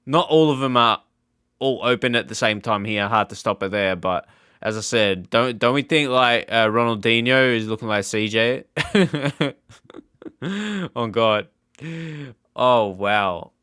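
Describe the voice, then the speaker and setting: A man hosting a gaming channel, giving casual, off-the-cuff reaction commentary in a rambling, halting, informal way. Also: mid-range voice, animated, pushed and bright, clean tone, dry recording, clean studio sound.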